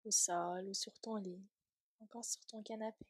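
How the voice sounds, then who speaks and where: A woman leading a guided yoga nidra relaxation in a soft, breathy, soothing voice, gently trailing off at times toward a whisper. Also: mid-range voice, fairly even intonation, moderate pace, no echo.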